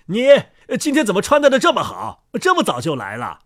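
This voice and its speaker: Man playing hot-tempered innkeeper, kindly, high voice, very animated, blustering, excitable, storybook character. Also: a little fast, no room sound, clean studio sound, full character voice. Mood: hearty, cheerful. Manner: shouting, stammering when flustered.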